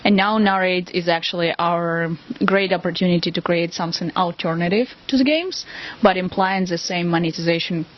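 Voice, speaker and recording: A woman's voice: fairly low pitch, normal speed. A woman with a Russian accent explaining things as a company representative in a casual interview, in a hesitant way. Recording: dull lo-fi audio.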